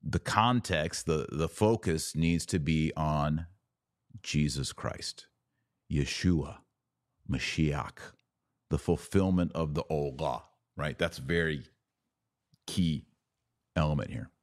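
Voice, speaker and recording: A man's voice: extremely low pitch, slow pace, long pauses, no echo, studio quality. A man hosting a podcast with conversational opinion commentary, talking emphatically with repeated words, rhetorical questions and a wry, sarcastic edge. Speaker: podcast host.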